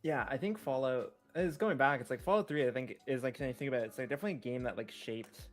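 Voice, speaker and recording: Man, podcast co-host, informal conversation, average pitch, loose phrasing, faint music bed. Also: fast talker. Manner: informal, conversational, uses "like" often. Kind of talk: casual chat about games. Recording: background music under the talk. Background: background music.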